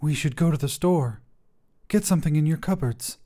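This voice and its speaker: Man voicing impatient young woman, pitched up. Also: moderate pace, warm tone, lightly acted. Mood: pressing. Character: impatient young woman, anxious narrator's hungry, nagging friend.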